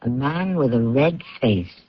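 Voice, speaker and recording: A man hosting and narrating a scripted radio mystery in a measured, literate, theatrical manner. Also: medium pitch, animated, dry recording. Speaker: host and narrator. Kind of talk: scripted radio drama introduction. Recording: dull lo-fi radio recording.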